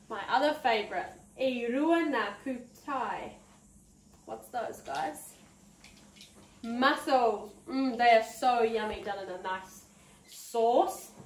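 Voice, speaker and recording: A woman in her thirties with a New Zealand accent, leading an interactive children's story time with animated, encouraging, drawn-out teacher talk. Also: on the high side. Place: preschool room, indoors. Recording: roomy, distant mic, background noise.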